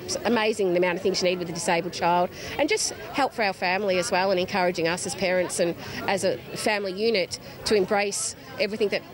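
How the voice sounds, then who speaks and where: A woman's voice: on the low side, fairly even intonation, quick pace. A woman with an Australian accent giving an informal interview as a parent, outdoors at an event. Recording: outdoor event with crowd noise.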